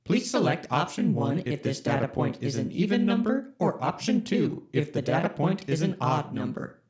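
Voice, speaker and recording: A man's voice: on the high side, big pitch swings, normal speed, darkish tone. A man voicing a robotic office computer. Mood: mechanical. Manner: stiff, robotic menu prompts. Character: automated office computer system, flat and unhelpful. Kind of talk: audio drama.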